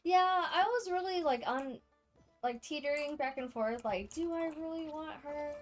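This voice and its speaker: A woman's voice: sits high, normal speed, soft background music. A woman livestreamer giving casual, chatty gameplay commentary to her viewers, speaking off the cuff. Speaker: game livestreamer.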